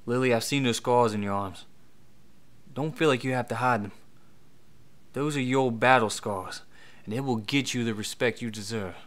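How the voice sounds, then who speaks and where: Man acting a bright-voiced, cool Western outlaw. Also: mid-range voice, normal speed, long pauses, lightly acted. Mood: cool, wry. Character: cool, wry notorious male outlaw leader. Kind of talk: amateur audio drama acting.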